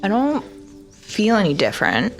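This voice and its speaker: A woman's voice: mid-range voice, huge pitch swings, normal speed. A woman playing a tabletop game, chatting casually in and out of the story. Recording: soft music and rain behind voice.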